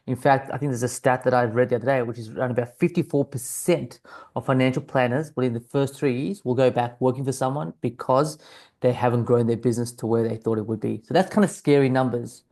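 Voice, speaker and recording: A man with an Australian accent, a business coach talking straight to camera in a conversational pitch that repeats key phrases and uses frequent fillers. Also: steady tone, fast talker, darkish tone. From South Africa, raised in Australia.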